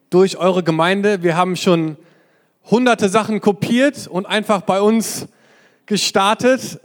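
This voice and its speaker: High-voiced young man preaching in a reverberant hall. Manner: enthusiastic, exclamatory preaching. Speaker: guest pastor. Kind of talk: sermon. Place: large church hall. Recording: long reverb of a church hall.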